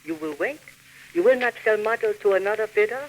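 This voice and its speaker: An actor playing a sinister, courteous Japanese government agent in a 1940s radio drama, with a put-on Japanese accent and clipped, formal broken English. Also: male voice, high voice, lively intonation, brisk. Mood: smooth, scheming. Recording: noisy old 1940s radio recording.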